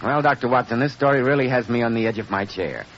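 A man's voice: fast talker, over loud music. A man with an American accent announcing and selling in a polished, emphatic 1940s radio style. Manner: polished, emphatic sales pitch. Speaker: announcer and host of radio drama. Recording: muffled, hissy vintage radio recording.

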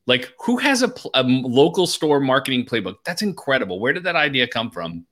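Bright-toned enthusiastic male host. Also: mid-range voice, big pitch swings, a little fast. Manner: enthusiastic, loose and chatty. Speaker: interview host. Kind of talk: casual interview chat.

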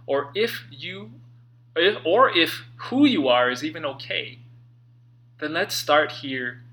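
A man narrating his own self-help book in an expressive, earnest and emphatic way, with dramatic phrasing and pauses. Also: on the high side, poor audio. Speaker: author reading own book.

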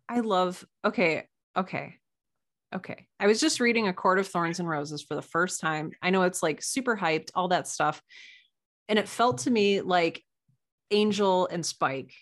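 A woman's voice: lowish voice, a little slow, fairly bright tone. A woman chatting casually about books in an emphatic way, repeating phrases to press her point. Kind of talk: casual book chat.

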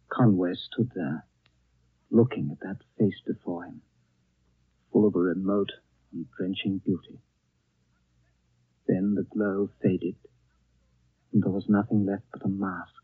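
An actor playing a solemn, reflective storyteller, narrating a 1930s radio drama in a grave, hushed manner. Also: male voice, low voice, slightly rough, no echo, heavily acted. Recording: dry, muffled 1930s radio recording.

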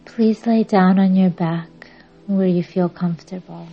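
A woman leads a guided meditation at a very slow pace, leaving long pauses between soothing instructions. Her voice is husky rather than clear. The recording sounds dull and lo-fi, with music playing behind her. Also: lowish voice, steady tone. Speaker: meditation podcast host and guide. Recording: dull lo-fi audio under music.

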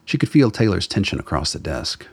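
A man's voice: low-pitched, big pitch swings, fairly quick, breathy edge, no room sound. A man reading scripted dramatic narration as the narrator of a radio play.

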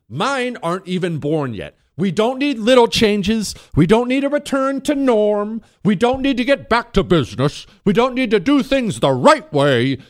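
Animated American man, emphatic radio monologue. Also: sits high, no room sound, studio quality. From United States. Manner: emphatic, dramatic, slowing down on key words; rhetorical questions and repetition. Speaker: political talk radio host.

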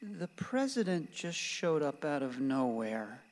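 A troubled, grieving grown son with a fairly high man's voice. He sounds confused and plaintive in a radio play, with a long reverberant ring around him. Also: sing-song, takes it slowly, full character voice. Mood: confused, sad. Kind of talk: emotional stage-style radio drama acting. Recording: reverberant hall-like room.

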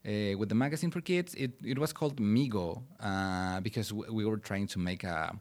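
This is a young man from Mexico speaking English with a Mexican accent, Spanish being his first language. His voice sits a little low. He tells his story as an interview guest in an animated, eager way, often restarting his sentences. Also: moderate pace. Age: young adult. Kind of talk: casual interview chat.

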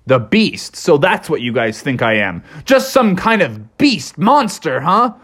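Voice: mid-range voice, very animated, a little slow, fairly bright tone, pitched above the performer's own voice. A performer voicing a big, feared dog who is really friendly and talks in chummy slang. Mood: offended.

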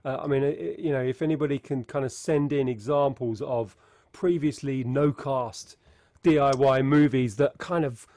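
A man's voice: mid-range voice, moderate pace, warm tone, no room sound, clean studio sound. A man co-hosting a podcast, chatting casually, teasing his partner and musing aloud. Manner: playful, eggs on his co-host, reflective asides. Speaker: podcast co-host.

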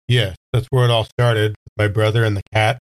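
Man playing a low-voiced, dry, probing man. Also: little pitch movement, normal speed, dry recording, lightly acted, rough recording. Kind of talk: improvised roleplay in an audio drama game.

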